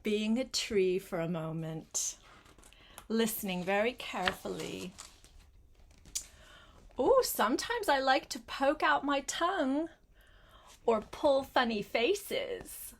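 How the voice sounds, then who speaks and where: An older woman reading a picture book aloud to a small child, gentle and coaxing, with chatty asides spoken straight to the child. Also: a little high, animated. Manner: gentle, unhurried, coaxing, addresses the child directly.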